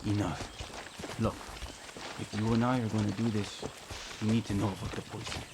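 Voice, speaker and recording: Game role: tough, embittered male guerrilla played by a man, lowish pitch, gruff curt delivery, noisy backdrop. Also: flat delivery, moderate pace, natural voice. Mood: angry. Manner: gruff, curt, hostile, profane. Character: bitter, grieving, tough male guerrilla fighter. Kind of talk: video game dramatic acting.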